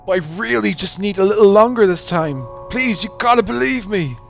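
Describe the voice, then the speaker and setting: A man's voice: high-pitched, steady tone, fast talker, gravelly, faint music behind, pitched above the performer's own voice. A man voicing a groveling middle-aged male boss in a visual novel, pleading and wailing in desperation. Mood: panicked.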